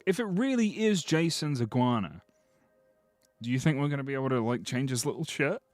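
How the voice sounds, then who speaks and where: Guy, livestream chat, slightly hoarse, joking and swearing, middle pitch, faint music behind. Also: expressive, fairly quick, dry recording. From Britain. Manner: joking, rambling, swears freely. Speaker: streamer. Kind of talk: casual livestream commentary.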